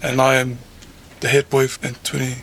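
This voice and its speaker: A man's voice: mid-range voice, monotone, moderate pace, gravelly, fairly bright tone, a little hiss or hum. A teenage boy introducing himself carefully. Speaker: team member, student.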